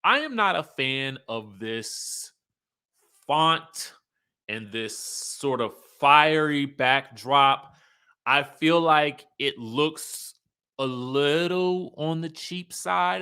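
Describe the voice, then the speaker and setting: A man's voice: a little high, takes it slowly, sharp tone, clear, resonant tone. A man hosting a reality TV commentary show, speaking in a conversational, emphatic, opinionated way, sometimes reading out cast bios.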